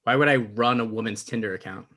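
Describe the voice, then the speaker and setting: A man's voice: on the low side, fast talker, sharp tone. A man playing an awkward, pedantic but well-meaning talk show host, mild and conversational, in a comedy table read. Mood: wary.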